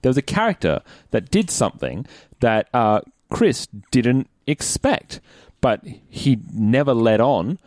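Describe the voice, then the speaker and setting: Casting: Australian man, expressive varied melody, informal co-host chatting about games, tight dry recording. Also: mid-range voice, measured pace, no room sound. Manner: animated, acts out quoted reactions. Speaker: podcast host and player. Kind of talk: casual podcast chat.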